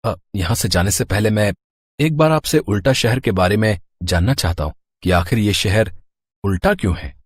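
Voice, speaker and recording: A man's voice: on the low side, animated, normal speed, breathy edge, no echo. A man voicing an earnest male schoolteacher, the hero of a children's cartoon story. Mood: puzzled. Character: puzzled, confident young-ish male schoolteacher, cartoon hero.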